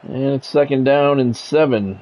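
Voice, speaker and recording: A man's voice: medium pitch, measured pace, a little mellow. A man doing football play-by-play for a video game in short, clipped phrases, with casual, dry and blunt asides. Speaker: sports video game streamer and commentator. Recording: dull, lo-fi mic with faint noise.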